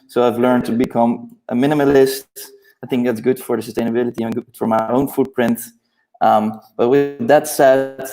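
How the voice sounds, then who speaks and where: A man from the Netherlands, a native Dutch speaker in his thirties, introducing himself and his company at a launch panel in a relaxed, friendly way. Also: medium pitch, rough recording.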